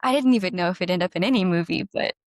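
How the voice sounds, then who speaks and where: Lower-pitched, bubbly young woman. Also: racing, no echo, clean studio sound. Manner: bubbly, gushing, frequent "like". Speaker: guest singer-songwriter and violinist. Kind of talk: casual interview chat.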